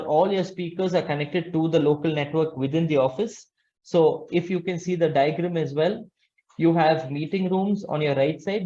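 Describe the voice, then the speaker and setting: A young man with a fairly high voice presents a webinar over a video call. He explains step by step, restarting and correcting his phrases as he goes. The audio is slightly dull and muffled. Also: dark tone. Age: young adult. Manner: halting, repeats and corrects himself. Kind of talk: explanatory slide presentation with examples. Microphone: video call, webcam framing.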